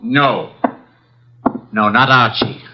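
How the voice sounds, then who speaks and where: An actor playing a menacing, impatient crook in an old radio drama, speaking in terse, insistent lines. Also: male voice, mid-range voice, fairly even intonation, drawn-out words. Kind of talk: 1940s-50s radio drama acting. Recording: noisy muffled vintage radio broadcast.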